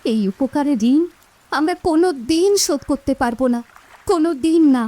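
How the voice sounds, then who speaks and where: A woman voicing an emotional, grateful village mother in an animated moral story. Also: high-pitched, normal speed, natural voice.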